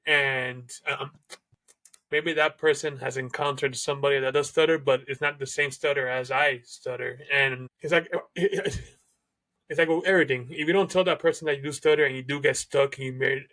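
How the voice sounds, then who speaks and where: A man's voice: mid-range voice, fairly even intonation, moderate pace, a bit bright. A man hosting an interview who stutters, talking casually with many fillers. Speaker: host. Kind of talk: conversational interview.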